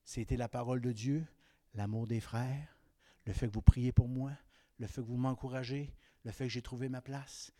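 A man's voice: medium pitch, fairly even intonation, moderate pace, no room sound, clean studio sound. Emphatic Québécois preacher in his fifties. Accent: Québécois French. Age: 50s. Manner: emphatic, swinging between raised exhortation and quiet confiding. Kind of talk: sermon.

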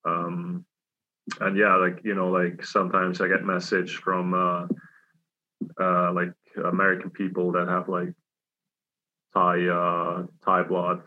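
A man's voice: deep voice, monotone, slow, pauses often. A young man from Switzerland, a hockey player, answering interview questions casually with many fillers and restarts. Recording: dull lo-fi sound.

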